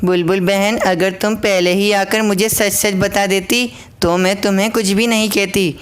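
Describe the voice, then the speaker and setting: Woman as indignant mama crow in a kids cartoon, clear voice, firm and quick to blame. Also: medium pitch, fairly even intonation, natural voice. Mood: stern. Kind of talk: children's cartoon voice acting in Hindi.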